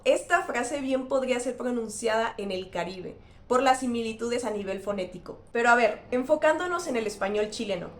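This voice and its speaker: A woman linguist hosting a scripted educational explainer, speaking clearly and spelling out examples. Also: fairly high pitch, echoey room, slight background noise. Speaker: linguist and channel host.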